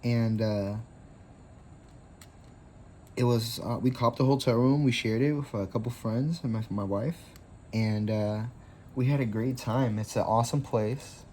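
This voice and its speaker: A man's voice: medium pitch, a little slow, pauses often, dark tone, slight background noise. A man in his twenties hosting a casual craft tutorial, rambling easily with lots of fillers. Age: 20s.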